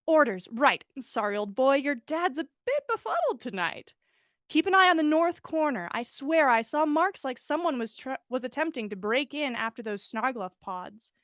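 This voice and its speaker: A woman's voice: high-pitched, big pitch swings, moderate pace, fairly bright tone, no echo, studio quality. A woman voicing a kindly young male wizard botanist in a read-aloud story, affectionate and a little befuddled. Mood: fond, befuddled. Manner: affectionate, mildly befuddled, self-deprecating.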